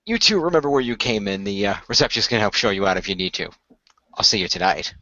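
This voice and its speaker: A man playing a courteous, earnest keeper of a magical secret in a radio play, speaking in a formal, measured storyteller's way. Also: lowish voice, big pitch swings, bright, forceful tone, dry recording, lo-fi sound, voice pushed down. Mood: inviting.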